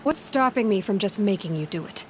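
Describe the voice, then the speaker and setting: A woman's voice: medium pitch, lively intonation, fairly quick, close to an everyday voice. A woman playing a determined, compassionate young wanderer heroine in a video game, speaking directly and bluntly. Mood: firm, challenging. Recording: loud music behind the voice.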